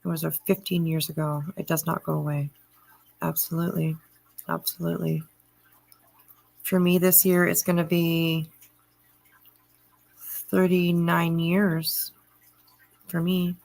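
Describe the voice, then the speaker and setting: A middle-aged woman hosting a livestream, chatting casually and answering viewer comments in a halting way with frequent pauses. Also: on the low side, gravelly, rough recording. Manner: halting, pausing often mid-sentence. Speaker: livestream host.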